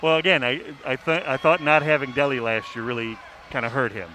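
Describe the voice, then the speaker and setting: A man giving casual sports analysis as a panelist, halting but emphatic, rephrasing his points as he goes. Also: medium pitch, steady tone, pushed and bright, poor audio. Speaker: sports writer on a broadcast panel. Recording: faint background noise.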